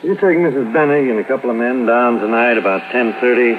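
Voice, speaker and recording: An actor playing a gruff, wry police lieutenant in a 1950s radio drama, questioning in a dry, clipped way. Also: male voice, medium pitch, fast, natural voice. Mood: businesslike, dry. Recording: noisy, phone-like vintage radio recording.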